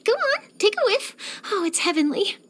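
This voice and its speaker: Young female game journalist, high bright-eyed chatter, swooping intonation, nosy, dramatic, gossipy. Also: moderate pace, full character voice. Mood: delighted, gushing. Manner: theatrical, expressive, gossipy. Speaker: video game character voice. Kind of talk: animated game character voice lines.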